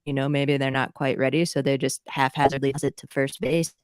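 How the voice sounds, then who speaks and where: A woman's voice: extremely low pitch, little pitch movement, fairly quick, smooth, no room sound, clean studio sound. A woman from the southern United States co-hosting a baseball talk show, chatting casually. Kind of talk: casual chat.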